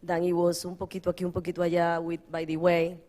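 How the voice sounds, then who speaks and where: A woman's voice: on the low side, completely flat delivery, fast talker, mellow. Woman searching for words on a panel. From Dominican Republic. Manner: broken phrasing, searching for words. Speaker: panelist, artist. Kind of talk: panel discussion answer.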